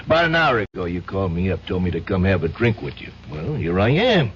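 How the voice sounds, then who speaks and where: An actor with an American accent playing a streetwise, slangy, loyal but menacing pal in an old-time radio drama, casual and wisecracking. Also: male voice, low-pitched, big pitch swings, fast talker. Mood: breezy, teasing. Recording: dull, faintly noisy old radio recording.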